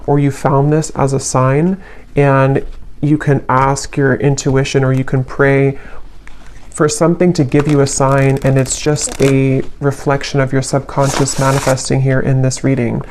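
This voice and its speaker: A woman giving an unscripted tarot reading in a reflective, halting way, letting her phrases trail. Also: very deep voice, flat delivery, a little mellow, a little hiss or hum. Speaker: tarot reader, channel host.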